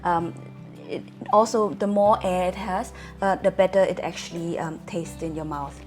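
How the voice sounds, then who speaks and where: A woman's voice: fairly low pitch, fairly even intonation, moderate pace, darkish tone, soft background music. A woman from Germany explaining casually in conversation, hesitant and often searching for words. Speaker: wine-savvy guest explaining to host.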